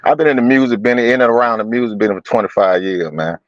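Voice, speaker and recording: A man's voice: medium pitch, quick pace, no room sound, dull audio. A man on a stream panel pushing back with worked examples, speaking. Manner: pushes back, builds argument with examples. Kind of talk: live-stream panel debate.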